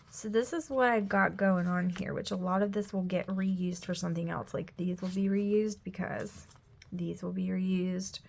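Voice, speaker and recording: A gravelly-voiced woman in her thirties chats in a loose, rambling way, full of "like," and keeps dropping to a near whisper. She sounds sniffly, with a scratchy throat. A faint hiss sits under the recording. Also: medium pitch, darkish tone. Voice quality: gravelly, drops often into a whisper. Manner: chatty, rambling, lots of "like". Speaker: planner vlogger, mother. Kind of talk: casual chat while decorating a planner. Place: home room.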